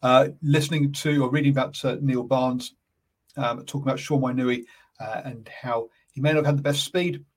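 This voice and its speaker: A man with a New Zealand accent hosting a casual live rugby chat, calm and halting, filling pauses with ums and uhs. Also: medium pitch. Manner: calm, soft-spoken. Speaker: rugby podcast host. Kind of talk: casual live-stream commentary.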